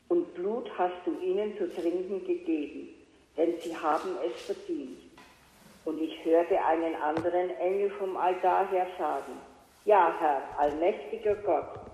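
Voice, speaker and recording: Slow, echoey woman reading scripture aloud. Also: lowish voice, darkish tone. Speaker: Bible study participant reading aloud. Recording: echoey, reverberant remote audio feed.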